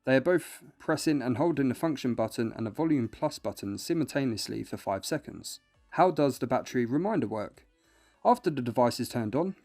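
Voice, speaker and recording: Mellow male product review narrator over music. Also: mid-range voice. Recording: music bed behind voice.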